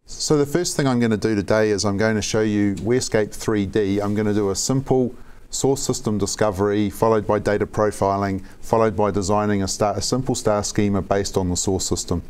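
A man in his forties with a New Zealand accent, walking through a software demo methodically in a conference room. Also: lowish voice, a little fast, hardly any pauses, smooth. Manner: plain, methodical explaining. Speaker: software architect giving a demo. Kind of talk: live software demo presentation.

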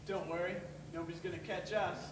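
A man's voice: sits high, little pitch movement, brisk, natural voice. A young man playing a cocky, streetwise teenage schemer in a stage play. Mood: cocky, impatient. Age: teens to young adult. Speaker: stage actor. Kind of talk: amateur stage play dialogue. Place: theatre stage. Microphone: far camera. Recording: roomy, distant camera, noisy hall.

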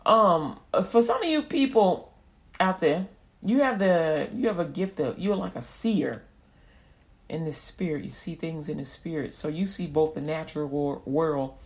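A woman preaching an informal radio sermon, folksy and repetitive, acting out little scenes as she goes. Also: on the low side.

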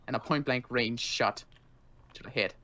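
A man playing a weary, wary zombie-apocalypse survivor in an improvised game roleplay, halting, sarcastic and guarded. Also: medium pitch, sharp tone, close to an everyday voice.

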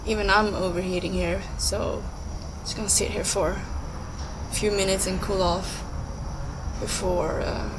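A young adult woman talking casually to her handheld camera, thinking aloud in fragments as she explores. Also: medium pitch. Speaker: urban explorer vlogger. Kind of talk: casual vlog commentary while exploring. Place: abandoned steel factory, indoors and out. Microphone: handheld action camera. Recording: noisy handheld action camera audio.

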